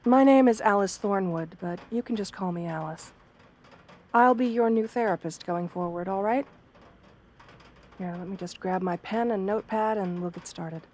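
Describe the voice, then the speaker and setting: A woman plays a wolf-girl therapist in an ASMR roleplay, with a soft-spoken voice of medium female pitch. She is gentle and understanding, choosing formal words with measured care. Her manner stays composed, professional and reassuring. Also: a little hiss or hum, close to an everyday voice. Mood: polite, welcoming.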